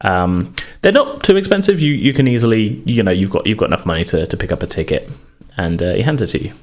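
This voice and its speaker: A man with a fairly low voice acts as game master for a tabletop role-playing game. He narrates scenes in a halting way, often searching for the next phrase. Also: animated.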